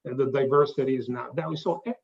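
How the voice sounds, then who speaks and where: A man with an Italian accent giving a pitch presentation, talking haltingly with many fillers and restarts. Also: vocal fry, recorded from a distance, muffled recording. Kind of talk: pitch presentation to a live audience.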